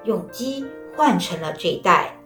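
A woman narrating a children's story in a lively, expressive way. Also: mid-range voice, measured pace. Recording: roomy, with faint music.

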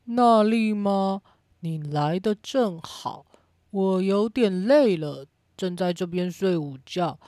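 A woman's voice: medium pitch, animated, takes it slowly, mellow, dry recording, studio quality. A woman voicing a lazy, stubborn pig brother in a children's radio play, with a drawling, dismissive manner. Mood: drowsy, stubborn.

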